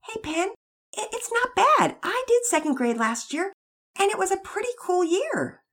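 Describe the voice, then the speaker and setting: Storybook schoolboy, calm and explaining, voiced by a female narrator. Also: very high voice, moderate pace, voice pushed up, full character voice. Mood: earnest. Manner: earnest, explaining, gently reassuring. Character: earnest older brother, young schoolboy, gentle.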